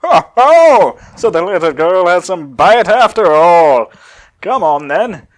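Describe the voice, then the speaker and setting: A man's voice: sits high, sing-song, slow pace, pitched above the performer's own voice, full character voice. A man voicing an arrogant, scornful android villain from anime fanfiction, with pompous, taunting delivery.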